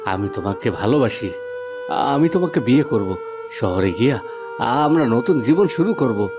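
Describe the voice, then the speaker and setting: A man's voice: mid-range voice, big pitch swings, moderate pace, over music, close to an everyday voice. A man voicing an earnest, impassioned young lover, the son of a village headman, in a cartoon. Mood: urgent, pleading. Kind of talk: cartoon voice acting.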